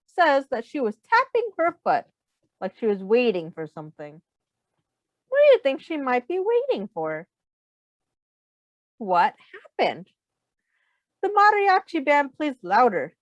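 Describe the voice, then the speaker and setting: Slow, high-pitched woman reading to children. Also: dry recording, muffled recording. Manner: simple, guiding questions to listeners. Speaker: teacher reading aloud to young children. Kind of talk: expressive picture-book read-aloud with questions.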